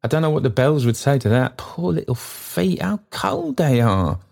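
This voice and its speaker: A man voicing a gentle young woman, a caring daughter who speaks tenderly and soothingly. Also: medium pitch, sing-song, normal speed, vocal fry, voice pushed up. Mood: tender, coaxing.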